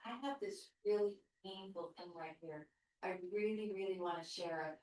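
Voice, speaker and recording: Woman, lower voice, hesitant, thoughtful, group discussion, very echoey room, distant. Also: moderate pace, a little mellow, a little hiss or hum. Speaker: group participant.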